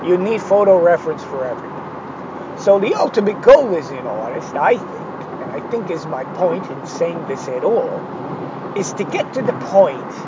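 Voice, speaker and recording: A man with a hoarse, failing voice talking through an art lesson, digressive and hesitant with many fillers and sardonic asides. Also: high-pitched, sing-song, normal speed, highs cut off, background noise. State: hoarse, losing his voice. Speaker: art instructor. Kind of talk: rambling spoken art lesson.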